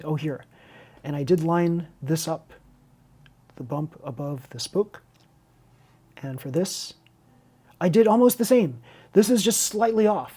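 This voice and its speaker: Husky woman chatting through a hobby build. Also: low voice, warm tone. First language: Chinese. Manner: rambling, candid, self-interrupting. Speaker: hobby video host and teacher. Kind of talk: unscripted casual chat over a model car build tutorial.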